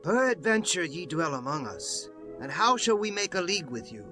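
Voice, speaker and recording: An actor playing a wary, firm prince of ancient Israel, declaiming solemnly in a dramatized scripture audiobook. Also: male voice, high voice, sing-song, normal speed, over music.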